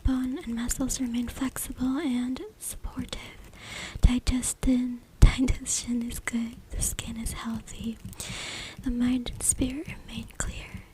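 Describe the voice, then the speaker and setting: A woman reads aloud in a breathy, unvoiced whisper in ASMR style, close to the microphone, with brief informal asides. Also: a little high, level tone, unhurried, low-quality recording. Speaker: ASMR creator. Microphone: close USB microphone. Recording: loud background noise, tapping and clicking. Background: tapping, scratching and clicks.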